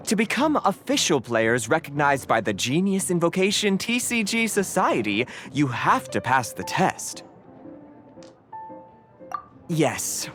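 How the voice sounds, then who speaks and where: A man voicing a polite, knowledgeable young card-game mentor in a video game, patiently explaining. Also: a little high. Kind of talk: video game character acting.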